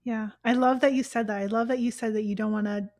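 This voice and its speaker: A woman hosting a casual morning talk show, with a hoarse morning voice, chatty and playful, laughing often. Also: fairly high pitch, fairly even intonation, fast, a little mellow. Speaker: host.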